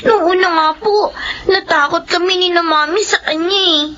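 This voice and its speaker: Young daughter, extremely high, polite, radio serial, slightly muffled. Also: female voice, moderate pace, bright, forceful tone. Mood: earnest. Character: young daughter, respectful child. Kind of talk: radio horror drama acting. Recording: dull, faintly noisy radio drama sound.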